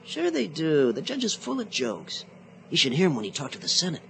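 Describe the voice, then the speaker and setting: Eager, lively young man. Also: a little high, a little fast, creaky, slight background noise, full character voice, poor audio. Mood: cheerful, chatty. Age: young adult. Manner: hesitant, rambling, boyish politeness. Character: eager, chatty young male Senate page. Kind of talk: radio drama acting.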